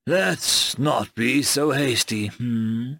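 A man playing a mild, fussy bureaucrat in an audiobook, speaking in precise, formal, gently cautioning sentences. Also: mid-range voice, animated, moderate pace, gravelly, no room sound. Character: mild, fussy, unthreatening bureaucrat negotiator from London. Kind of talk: audiobook character voice.

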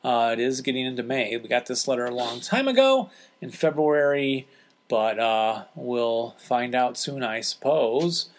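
A man's voice: mid-range voice, measured pace. A man hosting a podcast in a casual, conversational way, chatting and reading out listener letters with some hesitations. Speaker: podcast host.

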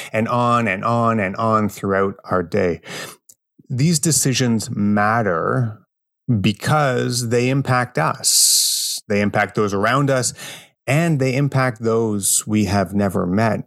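A man hosting an interview podcast, speaking in a measured, deliberate way. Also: fairly low pitch, no room sound, studio quality. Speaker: podcast host interviewing a guest. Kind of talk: podcast introduction and conversational interview.

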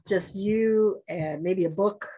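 A woman's voice: medium pitch, takes it slowly, dark tone, slightly rough. A woman guest on a podcast, earnestly giving practical advice to mothers. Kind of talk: casual conversation.

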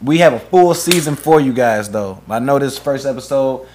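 A man's voice: medium pitch, lively intonation, moderate pace, a little mellow, slight background noise. A man co-hosting a casual podcast, promoting upcoming guests and social pages with lots of fillers and restarts. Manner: hyped promo talk with many fillers and restarts. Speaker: podcast co-host.

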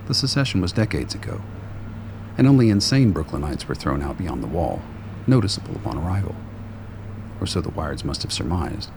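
A man's voice: low voice, vocal fry, noisy background. A man giving scripted narration for a radio play.

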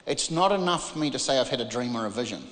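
A man from New Zealand with a New Zealand accent preaches an emphatic, informal charismatic sermon, using dramatic pauses and rhetorical questions. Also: mid-range voice, animated, fairly bright tone. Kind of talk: charismatic sermon, part exhortation and part Bible reading. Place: large reverberant room, preaching to a congregation. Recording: echoey, reverberant large room.

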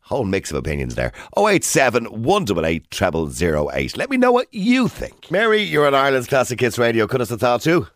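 An Irish man hosting a radio phone-in, quick and animated as he steers a debate and jokes with callers. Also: mid-range voice, dry recording, clean studio sound. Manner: animated, interrupting, quick banter. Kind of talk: lively talk radio debate. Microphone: studio microphone.

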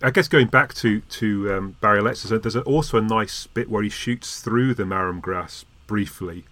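A man's voice: lowish voice, normal speed, bright, forceful tone. A man discussing on a podcast, often restarting his words but articulate. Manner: stammering restarts, articulate and appreciative.

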